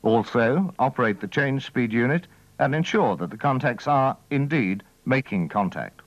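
A man's voice: medium pitch, expressive, moderate pace, no room sound. Man narrating a dull-sounding old training film. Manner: methodical, formal delivery. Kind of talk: scripted instructional narration.

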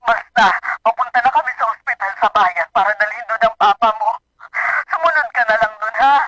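A woman's voice: on the high side, brisk, pushed and bright, no echo, rough recording. A woman acting a distraught, crying mother on the phone in a radio drama. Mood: tearful, urgent. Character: distraught crying mother calling her son.

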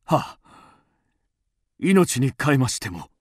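A man's voice: medium pitch, big pitch swings, slow pace, no room sound, clean studio sound. A man playing a loyal, driven master swordsmith, earnest and intense, in a radio drama. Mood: deferential, awed.

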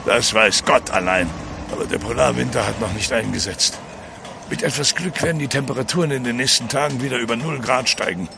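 A man plays a commanding sea captain in a radio play. He has a gravelly, mid-pitched voice and a firm, curt manner, with outdoor noise behind. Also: fairly quick, natural voice. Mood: measured. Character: gruff, authoritative ship's captain, level-headed. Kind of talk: German audio drama acting.

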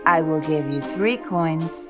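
Storybook role: woman as elderly village witch, kindly but firm, unhurried and deliberate, music underneath. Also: low-pitched. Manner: deliberate, instructing.

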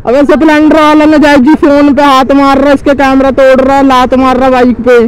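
A young man from India, excitedly narrating a motorbike ride outdoors on a city road, urging viewers to look at the traffic around him. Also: very high-pitched, fairly quick, low-quality recording. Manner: agitated, keeps calling viewers to look. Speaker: motovlogger. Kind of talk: excited riding commentary. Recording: faint traffic noise outdoors.

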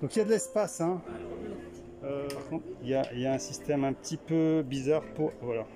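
A man's voice: mid-range voice, a little fast. A man casually touring a small camper van at a trade show, thinking aloud with many fillers and restarts, filmed on a handheld camera. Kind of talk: casual walkthrough commentary. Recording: music playing behind the voice.

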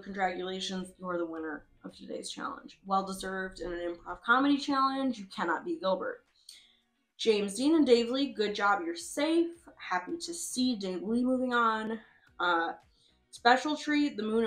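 A woman's voice: mid-range voice, lively intonation, normal speed, a bit bright, rough recording. A young woman giving casual, playful, slangy reaction commentary, announcing results like a pageant host. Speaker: host of a simulated reality competition. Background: music.

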